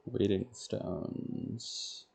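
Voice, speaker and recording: A man's voice: fairly low pitch, fairly even intonation, drawn-out words, creaky, darkish tone, slightly rough. A man livestreaming a game, casually thinking aloud in short, absent-minded fragments as he plays. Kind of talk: casual chat while playing, muttering to self.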